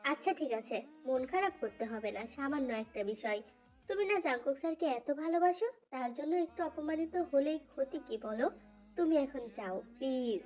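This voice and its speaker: A woman plays a mischievous young college guy in an audio fan fiction drama. He is defensive and pleading, with a fairly high voice over faint music. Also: animated, normal speed, a little mellow. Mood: placating. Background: faint background music.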